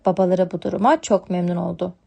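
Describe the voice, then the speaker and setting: A woman's voice: fairly low pitch, steady tone, fast talker, gravelly, mellow, breathy edge. A woman narrating a scripted storybook tale for children as a storyteller.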